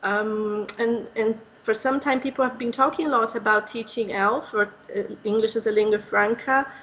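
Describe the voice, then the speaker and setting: Brazilian woman interviewer, a little high. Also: fairly even intonation, moderate pace, fairly bright tone, a little hiss or hum, rough recording. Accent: Brazilian Portuguese. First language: Portuguese. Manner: conversational, reflective, with fillers. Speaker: interviewer, English teacher. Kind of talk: podcast interview.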